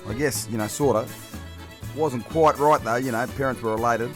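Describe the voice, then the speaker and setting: A man's voice: mid-range voice, normal speed, faint music behind, natural voice. A man playing a dry, offhand castaway in a comedy radio play.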